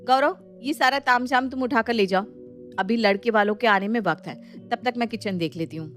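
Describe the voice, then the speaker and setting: A woman puts on a sensible young daughter-in-law in a cartoon family tale. Her voice is high and lively as she reasons and protests, with music behind. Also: brisk, close to an everyday voice. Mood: brisk, teasing. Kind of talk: animated Hindi moral story acting.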